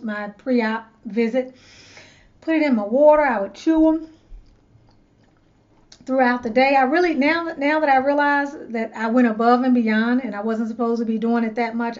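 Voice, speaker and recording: A woman's voice: a little high, darkish tone, muffled recording. A woman host telling a personal story in a chatty, earnest way, repeating words as she searches for them. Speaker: loc stylist and channel host. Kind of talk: casual storytelling to camera.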